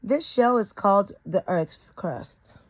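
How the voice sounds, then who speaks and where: Woman, children's storybook read-aloud, expressive, animated pitch, unhurried, occasional stumbles. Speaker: storybook reader.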